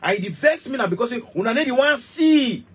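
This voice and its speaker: Cameroonian guy in his 30s going off about stuff, raspy voice, repeats himself a lot, phone cam in a little studio. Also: very high voice, lively intonation, a little fast. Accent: Cameroonian Pidgin English. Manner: passionate, emphatic, repetitive, gesturing. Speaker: musician. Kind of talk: heated direct-to-camera rant. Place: small indoor music studio.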